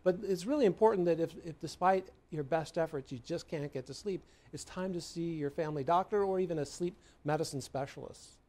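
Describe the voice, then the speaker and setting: Higher-pitched American man, mellow tone. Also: a little fast, hardly any pauses. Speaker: sleep medicine expert being interviewed. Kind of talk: explanatory expert interview.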